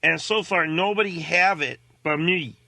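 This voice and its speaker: Man doing a very sharp, high-pitched dim sidekick. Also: vocal fry, no room sound, exaggerated voice. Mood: halting, earnest. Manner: halting, earnest, ungrammatical. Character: dim, hesitant young male radio show sidekick. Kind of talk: radio comedy banter.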